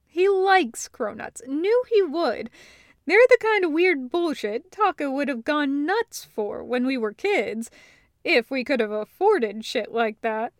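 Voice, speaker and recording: Audiobook role: teasing, affectionate, brash adult sister played by a woman, higher-set voice, dry close sound. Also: expressive, takes it slowly, no echo, heavily acted. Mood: fond, nostalgic. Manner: teasing, casual. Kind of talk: acted dialogue in an audiobook reading.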